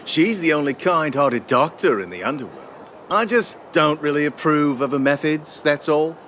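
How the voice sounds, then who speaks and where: Cynical, lively-pitched miner. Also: male voice, moderate pace, slight background noise. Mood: dismissive. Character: cynical, world-worn male underworld miner, secretive and warning. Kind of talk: video game voice acting. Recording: music under the voice.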